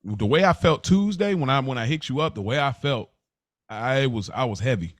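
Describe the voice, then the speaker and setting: Male podcast co-host, mid-pitched, colloquial and emphatic, reflective casual talk, close dry recording. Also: animated, normal speed, no room sound. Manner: earnest, emphatic, colloquial, often says "bro".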